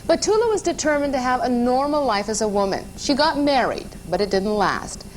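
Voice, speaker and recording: Female TV talk show host, bright higher register, with a faint hiss in the recording. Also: expressive, brisk. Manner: polished scripted intro, then chatty prompting questions.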